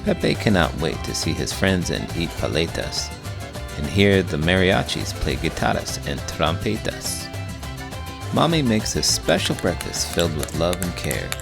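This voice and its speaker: Animated low-voiced man reading a storybook. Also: measured pace, creaky, over music. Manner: animated, big emotions. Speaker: children's book reader and host. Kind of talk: expressive storybook read-aloud.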